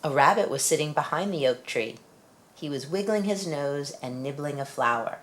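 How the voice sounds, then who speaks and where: A woman with a low-pitched voice reads a picture book aloud for young children. Her delivery is expressive storytelling, in a deep female register. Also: moderate pace. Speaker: storybook reader.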